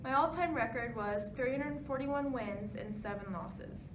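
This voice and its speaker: A woman's voice: on the high side, measured pace, natural voice. A woman playing a pioneering 1920s female tennis champion, answering interview questions from a rehearsed script. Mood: matter-of-fact. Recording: noisy, echoey room, distant mic.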